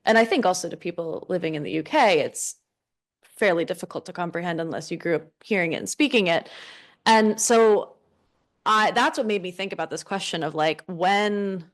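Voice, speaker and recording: A woman's voice: fairly low pitch, moderate pace. An American woman on a conference panel, answering an audience question in a thoughtful, loose way with many "like"s and "right"s. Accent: American English. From United States. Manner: thoughtful, frequent fillers like "like" and "right". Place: conference room.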